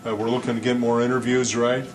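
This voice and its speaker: A man hosting a casual city-TV interview, talking easily with frequent pauses and restarts. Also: medium pitch, slight background noise. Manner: relaxed, many uhs and restarts. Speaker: host.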